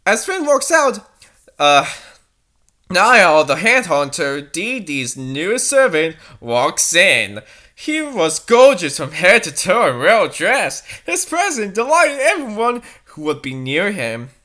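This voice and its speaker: A woman's voice: fairly low pitch, very animated, slow, a bit bright. A woman reading a story aloud for comedy, with sarcastic, amused commentary in a mocking style. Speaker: reader and commentator.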